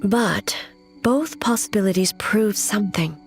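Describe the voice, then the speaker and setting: A woman's voice: mid-range voice, natural voice. Solemn, resolute woman playing a female shogun, music behind. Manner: measured, formal, grave. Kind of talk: scripted fantasy game dialogue.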